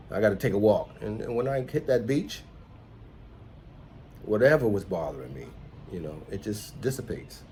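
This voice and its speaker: A man's voice: on the low side, a little hiss or hum. A middle-aged man with an American accent chatting casually as a vlog host, rambling and reflective. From United States, New York City. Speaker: host, entrepreneur and musician. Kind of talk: casual conversational vlog chat.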